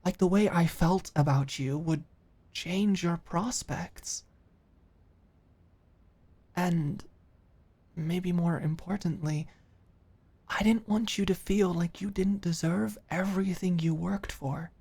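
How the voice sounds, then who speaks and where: A woman playing an earnest, caring office manager in an intimate ASMR roleplay, speaking haltingly and with open emotion. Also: fairly low pitch, slightly rough, heavily acted. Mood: serious, caring. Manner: halting, sighing, emotionally expressive.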